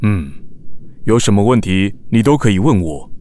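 A man's voice: lowish voice, animated, normal speed, warm tone, close to an everyday voice. A man voicing a stern, critical older sea snail uncle in a children's audio drama, blunt and fault-finding.

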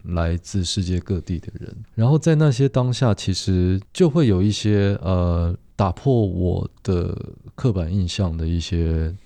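A man's voice: low voice, a little slow, hardly any pauses, dark tone, dry recording. A man telling a personal story as an interview guest, tentatively rephrasing as he goes. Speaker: guest actor. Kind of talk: casual anecdotal interview talk.

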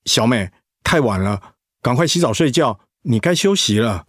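An actor playing a remorseful husband who pleads softly and apologetically in an audio drama. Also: male voice, medium pitch, lively intonation, normal speed, a little hiss or hum, close to an everyday voice. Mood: placating. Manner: soft, pleading.

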